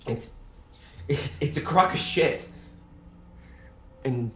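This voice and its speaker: Slow, halting, tormented man played by an actor. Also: fairly high pitch, fairly bright tone, a little hiss or hum. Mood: agitated, despairing. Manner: halting, stammering, distressed. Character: tormented male patient, desperate, haunted by voices. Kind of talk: audio horror drama acting. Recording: music behind the voice.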